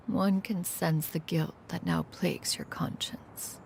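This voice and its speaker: Game role: wise immortal woman, slow, gravelly, stately and formal, faint rumble behind. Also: a little mellow, full character voice. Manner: formal, stately, archaic phrasing. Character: aloof, dignified, wise immortal adept woman. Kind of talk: dramatic game voice acting.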